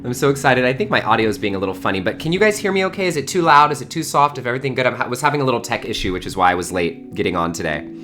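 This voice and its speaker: Man, early thirties, livestream community host, effusive and gushing, informal talk, mid-range pitch, faint music. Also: animated, very fast, pushed and bright. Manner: effusive, gushing, with repeated words for emphasis. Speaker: host of an online spiritual community gathering.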